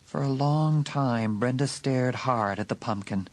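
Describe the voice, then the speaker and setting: A man's voice: mid-range voice, a little mellow, dry recording. Suspenseful male horror audiobook narrator. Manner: suspenseful, deliberate emphasis. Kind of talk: dramatic scripted horror narration.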